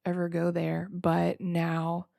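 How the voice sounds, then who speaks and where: Female solo podcast host: gravelly fry, slightly nasal, reflective freestyle rambling, very dry close sound. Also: lowish voice, completely flat delivery, warm tone, no echo. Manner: informal, reflective, halting and rambling with repeated words.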